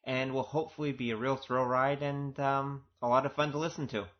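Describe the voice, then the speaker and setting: A man's voice: mid-range voice, level tone, fairly quick. A man speaking as an audio drama producer, giving an informal, earnest and conversational director's commentary. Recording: dull, lo-fi recording.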